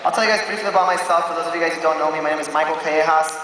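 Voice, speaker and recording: Fast, high-pitched man in an echoing hall. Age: late 20s. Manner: energetic, chatty, checks in with the audience. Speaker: motivational speaker at a company event. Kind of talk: informal motivational stage talk with storytelling. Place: large event hall with live audience. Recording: echoey hall, distant mic, crowd noise.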